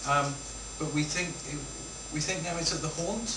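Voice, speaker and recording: A man's voice: fairly high pitch, moderate pace, far from the mic. A British man hosting a casual unscripted news chat, teasing and mock-grumbling as he goes. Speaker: host of an amateur news show. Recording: echoey room, off mic, noisy hum.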